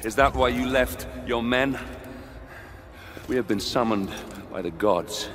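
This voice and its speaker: An actor playing a grim, defiant Roman general in a video game, commanding, intense and deliberate. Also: male voice, medium pitch, lightly acted. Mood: angry contempt. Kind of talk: dramatic video game cutscene acting.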